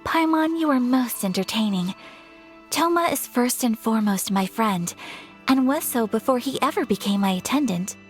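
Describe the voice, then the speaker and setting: A woman's voice: on the high side, lively intonation, normal speed, faint music behind, close to an everyday voice. A woman voicing a gracious, refined young noblewoman in a video game, speaking in a formal, courteous, poised way. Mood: amused.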